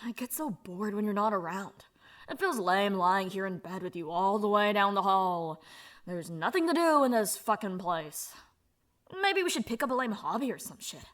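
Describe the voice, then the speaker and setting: A woman's voice: medium pitch, lively intonation, normal speed, fairly bright tone, lightly acted. A woman voicing a tough young woman who reads out her letter in a casual, swearing, offhand way. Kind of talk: reading a letter aloud.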